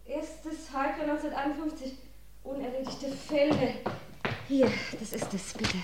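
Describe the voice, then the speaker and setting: A woman playing a brisk, high-voiced police secretary. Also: roomy sound, background noise, close to an everyday voice. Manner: brisk, helpful, matter-of-fact. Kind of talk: 1950s radio crime drama acting.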